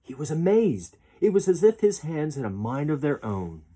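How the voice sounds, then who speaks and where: A man reading a children's picture book aloud as a storyteller, with expressive, deliberate phrasing. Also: a little high, breathy edge.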